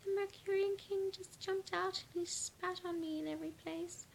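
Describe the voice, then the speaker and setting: A woman voicing the moon as a high, tearful female spirit in a made-up story. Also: fairly even intonation, moderate pace, vocal fry, slight background noise. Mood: pleading.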